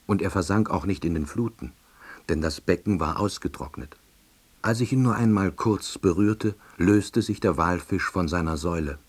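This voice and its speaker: An actor playing a wry, hapless middle-aged salesman, narrating his own story in an audio drama with a dry, deadpan delivery and husky voice. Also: low voice, moderate pace. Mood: wry, resigned. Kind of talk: scripted first-person narration.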